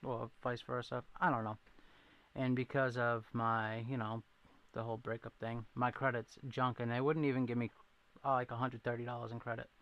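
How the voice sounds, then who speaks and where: A man hosting a casual chat stream, with a light but clear Boston accent from his New England upbringing. His voice is gravelly, with fry at the ends of his phrases, and his pitch sits at an ordinary middle. He rambles, jokes at his own expense and keeps answering viewers. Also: level tone, normal speed, lo-fi sound. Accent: thin Boston accent. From New England, near Rhode Island. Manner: rambling, self-deprecating, reacts to chat. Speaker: livestream host.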